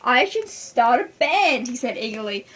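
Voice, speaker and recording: A woman's voice: fairly high pitch, very animated, normal speed, fairly bright tone. A young woman informally reading a school story aloud to friends in a giggly manner. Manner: giggly, breaks off to banter with listeners. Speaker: story reader. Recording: clearly noisy home recording.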